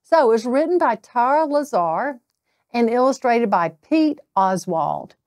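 A middle-aged woman reading a children's bedtime story aloud, with deliberate, drawn-out emphasis on phrases. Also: on the high side, sing-song, darkish tone, no room sound, studio quality. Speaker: storybook reader, local insurance agent.

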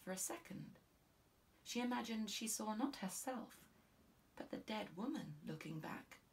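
A woman's voice: medium pitch, expressive, unhurried, slight background noise. Young British woman narrating, slightly distant mic. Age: young adult. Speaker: audiobook narrator. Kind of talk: scripted literary narration of a crime novel. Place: small recording booth. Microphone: studio condenser with pop filter, side-on.

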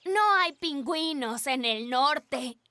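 An actor voicing a timid, gentle young polar bear in a children's cartoon, speaking in a mild, earnest way. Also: high-pitched, slow pace, noisy background. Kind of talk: animated children's cartoon dub. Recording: music behind the voice.

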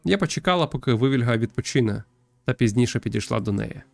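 A man's voice: medium pitch, expressive, fast, creaky, a little mellow, faint music behind. A man voicing a sensitive young girl who narrates her sad journey in a visual novel. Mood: sad, tender.

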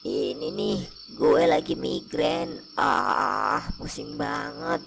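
A man voicing a grumbling male shroud ghost in a comic cartoon, gravelly and moaning in pain with slangy speech. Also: lowish voice, level tone, slow pace, fairly bright tone, slight background noise, heavily acted. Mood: pained, urgent. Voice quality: gravelly, creaky. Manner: groaning, complaining, slangy Jakarta-style speech. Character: grumbling pocong shroud ghost, adult male, suffering a migraine.

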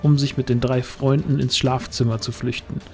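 A man's voice: mid-range voice, level tone, normal speed, darkish tone, faint music behind. A man giving scripted narration for a fantasy audio drama.

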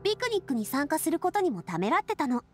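Young woman, sweet, friendly, high, swooping intonation, casual game dialogue, music behind. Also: very animated, quick pace. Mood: fond, nostalgic. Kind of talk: Japanese game voice acting.

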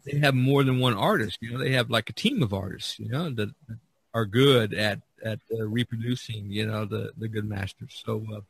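A middle-aged or older man hosting a casual podcast discussion, talking haltingly with lots of repetition. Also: fairly low pitch, gravelly, slight background noise. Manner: halting, repetitive, peppered with 'you know'. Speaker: podcast host.

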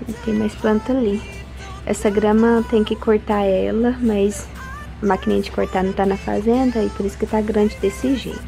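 A young woman from Brazil giving a chatty home tour, filming herself on a handheld phone in and around her house. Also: medium pitch. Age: young adult. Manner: chatty, addresses viewers directly. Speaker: home vlogger. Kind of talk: casual home tour vlog. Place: house and yard, in and out of doors. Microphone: phone held at arm's length. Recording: music playing underneath.

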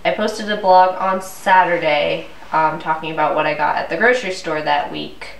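A woman's voice: low voice, normal speed, vocal fry. A young woman with a cold, sounding stuffy and congested, ranting casually to her vlog camera at home. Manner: chatty, exasperated ranting. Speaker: vlogger. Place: living room at home, indoors. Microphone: camera mic from a few feet away. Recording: roomy, distant camera audio.

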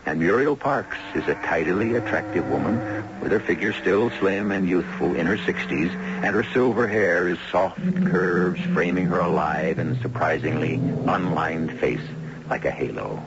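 A man's voice: sits low, lively intonation, normal speed. A man hosting and narrating a radio drama with scripted, literary, theatrical delivery. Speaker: host and narrator. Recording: dull, noisy 1970s radio recording.

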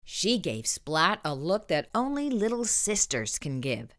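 A woman reading a children's storybook aloud expressively, with deliberate, dramatic pauses. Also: fairly low pitch, big pitch swings, a bit bright. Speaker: storytime host and narrator.

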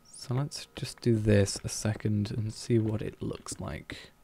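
A man's voice: fairly low pitch, level tone, slow, gravelly, slight background noise. A man streaming a building game, thinking aloud in a hesitant, musing way as he plans. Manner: musing, hesitant, planning out loud.

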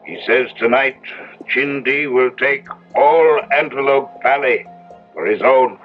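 Radio drama part: steady, grave ranch foreman played by a man, medium pitch, dull vintage recording. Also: slow, music in the background, lightly acted. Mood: solemn, ominous. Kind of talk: old-time radio drama acting. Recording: dull, noisy old radio broadcast recording.

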